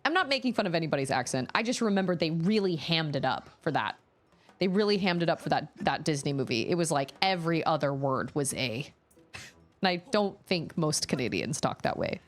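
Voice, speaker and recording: A woman's voice: sits low, big pitch swings, brisk. A woman in her thirties chatting casually and rambling while she plays a video game, often breaking off to exclaim at the screen. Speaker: streamer.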